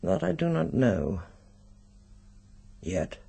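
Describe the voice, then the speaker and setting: A British actor playing a sinister, secretive occult expert in a radio drama, speaking deliberately and insinuatingly. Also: male voice, medium pitch, big pitch swings, gravelly, warm tone, slightly rough, heavily acted.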